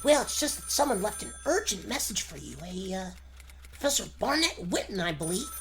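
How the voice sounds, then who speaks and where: A man's voice: high-pitched, very animated, a little fast, creaky, a bit bright, soft background music, heavily acted. A man voicing an eager, helpful youngster with a chirpy, deferential manner in old radio serial style. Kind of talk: 1940s radio serial acting.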